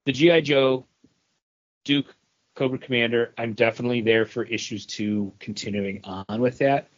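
A man's voice: medium pitch, fairly even intonation, normal speed. A man with a mid-range voice talks as a podcast co-host, rambling along with a fan's enthusiasm. The style is casual and conversational, and the recording is slightly muffled and lo-fi. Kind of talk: casual comic book review chat. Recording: dull, lo-fi podcast audio.

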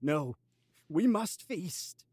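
A man's voice: fairly high pitch, sing-song, drawn-out words, darkish tone, husky, no echo, pitched above the performer's own voice, full character voice. A grandiose she-goat orator, acted by a man, addresses the world in florid, archaic speech. The delivery is pompous and theatrical, made for an audio drama, with a close, dry sound. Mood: grandly proclaiming.

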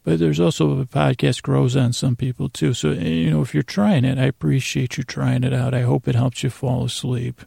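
A man with a heavily creaky, gravelly voice rambles through a bedtime podcast. His delivery is flat and droning, wandering off and circling back with halting repeats. The tone is dark and mellow. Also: mid-range voice, no room sound, clean studio sound. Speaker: podcast host.

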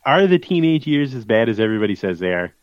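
A man's voice: mid-range voice, very animated, quick pace, clean tone, dry recording. A man chatting casually as a podcast co-host, wry and joking. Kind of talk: casual chat. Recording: dull lo-fi dry audio.

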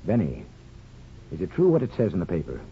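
Grave, low-voiced old barber father. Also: wildly swooping pitch, slight background noise, heavily acted. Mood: grave, troubled. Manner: plain-spoken, measured, grave. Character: principled older father, small-town barber, quietly stubborn. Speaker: radio actor. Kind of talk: 1950s radio drama acting. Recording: muffled 1950s radio broadcast.

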